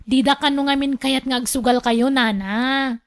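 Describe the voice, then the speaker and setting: A young household helper with a Filipino accent, very high in pitch, polite and inquisitive as a woman acts her in radio drama style. She is deferential to her elders but asks a lot. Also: steady tone, moderate pace. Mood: apologetic refusal. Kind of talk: Ilocano radio drama acting.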